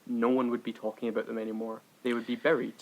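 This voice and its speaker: A man's voice: mid-range voice, little pitch movement, fast talker, rough recording. A man co-hosting a podcast, giving opinions in an emphatic, argumentative way and often checking in with listeners. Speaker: podcast co-host. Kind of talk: casual opinionated discussion.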